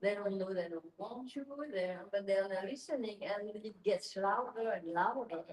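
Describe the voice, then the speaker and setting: Woman, medium pitch, emphatic, repetitive phrasing, casual discussion participant, echoey room. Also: normal speed, a little hiss or hum.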